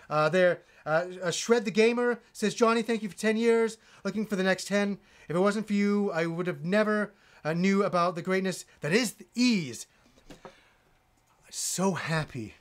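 A man in his forties, a gaming channel host, reading donations on a live stream with lively enthusiasm and rambling restarts, in a room with game shelves. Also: sits high. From Yorkshire, England, raised in Canada. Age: 40s.

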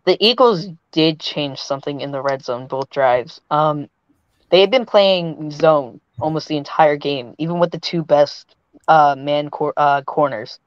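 A young man gives measured, detailed football analysis in a smooth, even voice. The webcam sound is dull and dry, with no room echo. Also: on the high side. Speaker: panelist. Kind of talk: casual sports analysis.

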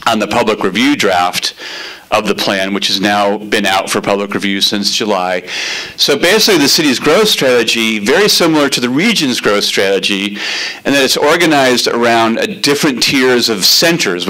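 A middle-aged man, a city transportation planner, giving a loud, chatty talk to a community meeting. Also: on the low side, low-quality recording. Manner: loud, chatty, relaxed explaining.

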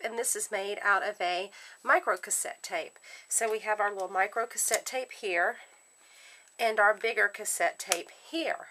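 A woman with a crisp, bright voice walks through a craft project at her worktable in a relaxed, chatty way. She talks while busy with her hands. A soft hiss sits under the recording. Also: mid-range voice. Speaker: craft tutorial host. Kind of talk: casual step-by-step craft demonstration.

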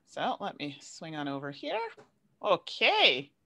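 A young woman hosting an online panel over a video call, chatty and quick to laugh. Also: sits low, wildly swooping pitch, fairly bright tone. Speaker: panel host, content creator.

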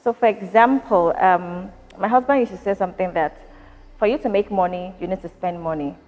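A Nigerian woman in her thirties, a company founder, answering interview questions in a measured, thoughtful way with frequent fillers, recorded indoors in a factory. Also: mid-range voice, a little mellow, in a large hall, highs cut off.

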